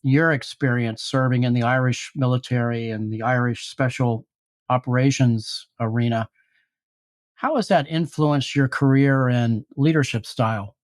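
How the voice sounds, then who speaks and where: A man's voice: medium pitch, fairly even intonation, moderate pace. A man hosting a podcast interview, reading a polished introduction and asking questions. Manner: polished, scripted-sounding introductions. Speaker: podcast host.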